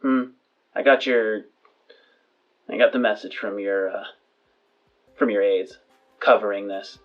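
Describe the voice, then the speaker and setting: A man voicing an aggrieved, wary male informant, needling and halting, in a science fiction tabletop game. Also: fairly low pitch, steady tone, muffled recording, close to an everyday voice. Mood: wary, insistent. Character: gruff, aggrieved male informant calling a powerful client.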